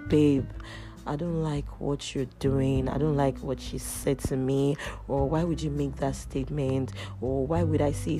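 Low-voiced woman podcaster, loud and lively. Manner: loud, animated storytelling with restarts. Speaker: podcast host. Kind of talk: casual personal chat. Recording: music playing underneath. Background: guitar music.

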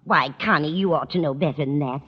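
A woman's voice: low-pitched, fairly quick, husky. A woman playing an absent-minded, earnest and easily muddled landlady, in 1940s radio sitcom style. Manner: vague, earnest. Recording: dull, reverberant old radio recording.

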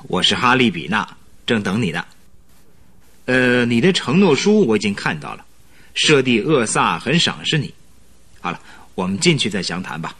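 An actor playing an energetic, domineering businessman in a radio drama, talking in a brisk, commanding, talkative way. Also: medium pitch, husky. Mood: brisk, welcoming.

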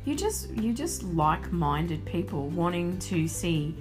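A woman with an Australian accent and a fairly low voice co-hosts a podcast. She talks casually but with enthusiasm and stresses her points. Music plays clearly behind her. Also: sing-song, a little slow. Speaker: podcast co-host. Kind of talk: casual chat.

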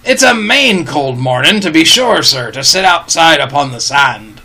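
A man's voice: high voice, lively intonation, measured pace, creaky, sharp tone, breathy edge, pitched above the performer's own voice, full character voice. A man voicing a cheerful, wily one-legged pirate captain in an audiobook, with blustering, ingratiating rustic sailor speech. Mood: plaintive, wheedling. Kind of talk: audiobook character voice.

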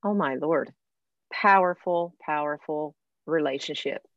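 A woman who is a classically trained singer, hosting an interview in animated, chatty conversation with frequent laughs. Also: sits low, measured pace, dry recording, muffled recording. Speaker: podcast host, professional classical singer. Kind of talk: casual interview chat.

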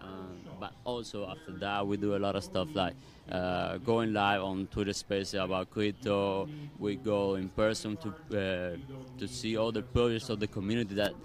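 Cuban-accented man, halting chat. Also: on the low side, little pitch movement. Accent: Cuban Spanish. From Cuba. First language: Spanish. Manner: halting, many restarts and fillers. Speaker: community guest. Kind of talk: casual live-stream chat. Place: outdoor event lounge.

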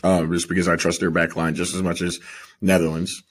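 A man with a deep voice and a husky grain chats about soccer as a podcast co-host. His delivery is casual and conversational. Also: level tone, fairly quick.

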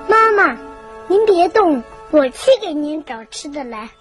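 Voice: high-pitched, very animated, measured pace, a bit bright, faint music behind, heavily acted. An actor playing a polite, devoted young crow child in a children's radio drama. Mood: cheerful, eager. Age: child figure. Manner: polite, earnest, eager.

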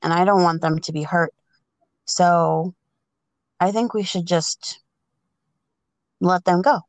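Unhurried woman improvising a story, hesitant. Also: fairly low pitch, steady tone, no room sound. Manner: hesitant, halting, groping for words. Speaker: storyteller on a podcast.

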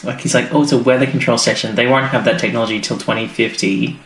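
A man's voice: mid-range voice, fairly even intonation, quick pace, a bit bright. A man co-hosting a podcast, chatting casually and rambling as he trails off mid-thought. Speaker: podcast co-host. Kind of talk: casual chat reviewing a TV serial. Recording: echoey room with background noise.

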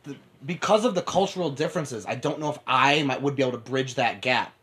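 A young man from upstate New York co-hosting a podcast, talking excitably, laughing often and swearing. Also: mid-range voice, fast. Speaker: podcast co-host. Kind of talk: casual chat.

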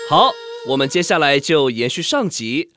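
A man with a Taiwanese Mandarin accent hosting and narrating a radio drama in a theatrical, expressive storytelling manner. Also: fairly high pitch, normal speed, soft background music.

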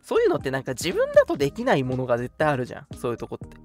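A man in his twenties chats as co-host of an informal internet radio show. His delivery is rapid and very animated in pitch, readily agreeing and reacting. Light music runs underneath. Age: 20s. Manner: chatty, quick to agree and react. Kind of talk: casual banter on a live internet radio chat.

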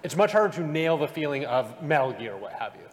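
A man's voice: fairly high pitch, big pitch swings, fairly quick. A man discussing things casually as a panelist at a live event. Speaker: podcast panelist. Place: large convention panel room with audience. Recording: echoey convention hall, live panel.

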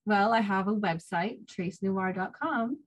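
Woman author on a podcast, medium pitch, chatty and informal, laughs a bit between phrases. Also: a little slow. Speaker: guest, self-published author. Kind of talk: casual interview chat.

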